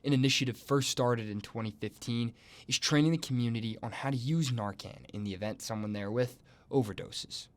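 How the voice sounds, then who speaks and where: A man's voice: mid-range voice, a little fast. A young man delivering scripted broadcast news as a student TV reporter. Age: young adult.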